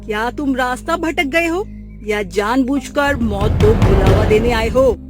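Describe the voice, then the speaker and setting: A woman's voice: on the high side, measured pace, loud music behind the voice, lightly acted. A woman playing a mysterious, menacing forest guardian spirit in a cartoon story, theatrical, ominous and commanding. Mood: warning, ominous.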